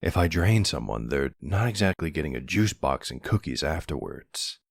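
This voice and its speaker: Man playing a deep, gravelly flirty vampire. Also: very animated, normal speed, no room sound, full character voice. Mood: smug, caring.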